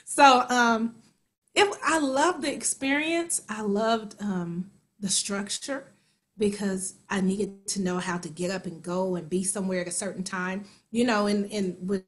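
A woman in her forties, a stage actor and singer from Kansas City, talks through her career in a relaxed interview. She slips into her own inner voice and quoted remarks as she goes, keeping things lively and warm in tone. Her voice sits in a middle pitch. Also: measured pace. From Kansas City, USA. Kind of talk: conversational interview.

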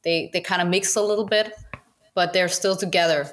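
A woman's voice: fairly low pitch, fairly even intonation, brisk. A Danish woman, a native Danish speaker, chatting casually as a guest about goose hunting, with many restarts and fillers and frequent laughs. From Denmark. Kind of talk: casual interview chat.

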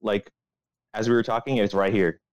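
A man's voice: lowish voice, flat delivery, normal speed, muffled recording, a crowd in the background. A young man co-hosting a podcast in casual, joking banter, teasing and sweary with crude humour. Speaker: podcast co-host.

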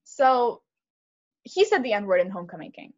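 A young woman co-hosting a podcast, talking fast and animated in casual chat, with lots of "like". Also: medium pitch, dull audio. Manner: fast, animated, heavy use of "like". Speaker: podcast co-host.

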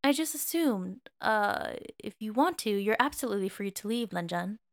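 A woman's voice: mid-range voice, animated, moderate pace, close to an everyday voice. A woman voicing a young emperor in an audiobook, a tender and impulsive lover with a pouty, groaning, urgent way of talking. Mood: urgent, sincere.

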